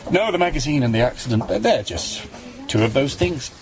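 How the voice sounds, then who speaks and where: An actor playing a good-humoured, resigned injured magazine boss in a radio drama, joking and a little hesitant. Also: male voice, medium pitch, very animated, brisk. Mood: resigned, kindly.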